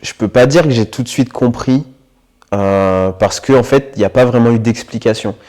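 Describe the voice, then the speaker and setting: A man in his twenties from the Paris region, giving a reflective, hesitant personal testimony in an interview, with frequent filler pauses. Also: mid-range voice, darkish tone. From Paris region, France. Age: 20s. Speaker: interviewee sharing his experience with illness.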